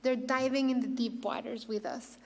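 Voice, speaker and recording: A woman's voice: a little high, steady tone, normal speed, darkish tone. A middle-aged woman with a Mexican accent, hosting an awards ceremony with a prepared speech and playful asides. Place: large hall. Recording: long reverb of a big hall.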